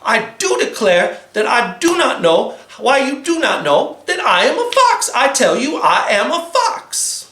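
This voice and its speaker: A man's voice: very high voice, sing-song, a little slow, hardly any pauses, pushed and bright, voice pushed up, exaggerated voice. A man voicing a sly, pompous, easily offended male fox with formal, genteel speech in a children's story. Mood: indignant. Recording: roomy, echoey sound.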